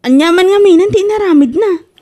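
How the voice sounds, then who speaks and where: A woman's voice: very high voice, quick pace, warm tone. An Ilocano-accented woman playing a worried, caring older sister in a comedy drama. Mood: concerned, apologetic.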